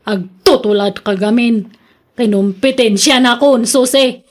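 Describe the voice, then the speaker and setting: Slow, high-voiced Ilocano woman vlogger played by an actress. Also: close to an everyday voice. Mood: defiant, self-important. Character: stubborn vain woman vlogger chasing viral fame. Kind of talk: radio drama acting.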